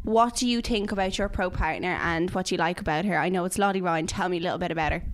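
A woman's voice: fairly low pitch, fast. A woman with a Dublin accent, a professional dancer chatting in an upbeat, enthusiastic way. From Dublin, Ireland. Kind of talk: casual chat.

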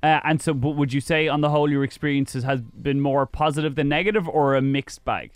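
A somewhat high-pitched man hosts a radio chat with guests. He talks in a loose, chatty way and often restarts his sentences. The studio sound is close and dry. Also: fairly quick, clean tone, dry recording. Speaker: radio host. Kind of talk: radio interview.

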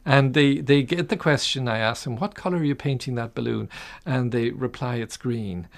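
An Irish-accented man, middle-aged or older, reflecting and telling stories as an interview guest, with halting restarts. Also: mid-range voice, creaky. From Ireland. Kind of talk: reflective conversational storytelling.

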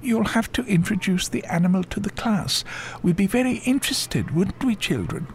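A man's voice: high-pitched, lively intonation, moderate pace, a little hiss or hum, pitched above the performer's own voice. A man voicing a prim, doubting female schoolteacher in a children's storybook, with exaggerated politeness. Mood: prim, sceptical.

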